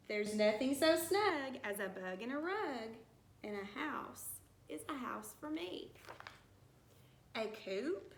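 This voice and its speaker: A woman teacher reading a rhyming picture book aloud to young children, with deliberate, singsong storybook phrasing. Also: on the high side, a bit bright. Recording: roomy echo with some background noise.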